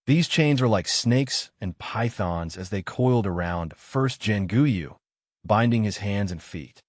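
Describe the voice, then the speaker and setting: A man narrating a fantasy novel in a steady, dramatic reading as audiobook narrator. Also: on the low side, a little slow, no room sound, clean studio sound.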